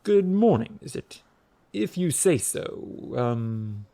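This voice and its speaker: Man acting harried, grumpy resort manager, animated pitch. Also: normal speed, gravelly. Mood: flustered.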